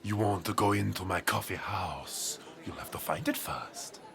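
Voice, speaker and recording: An actor playing an eccentric, boastful coffee seller from abroad, speaking broken English with a foreign accent. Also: male voice, deep voice, normal speed, crowd noise. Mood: playful. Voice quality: gravelly, theatrical, laughing. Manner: grand salesman patter with broken English.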